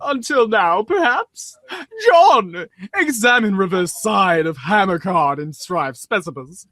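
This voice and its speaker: Man acting eccentric millionaire, theatrical, imperious, slow drawn-out words, swooping pitch, comic audio drama, close dry sound. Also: lively intonation, pushed and bright, no echo, full character voice.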